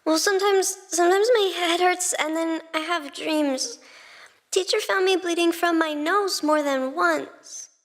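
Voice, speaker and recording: A woman voicing a meek, nervous seven-year-old boy who speaks haltingly in a story reading. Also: extremely high pitch. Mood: troubled, hesitant. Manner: halting, timid, stammering. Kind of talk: dramatized fan fiction reading.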